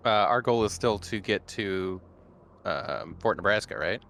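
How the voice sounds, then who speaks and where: A man's voice: sits low, expressive, measured pace, creaky, a bit bright, slight background noise. A man talking casually as a player in a tabletop roleplaying game.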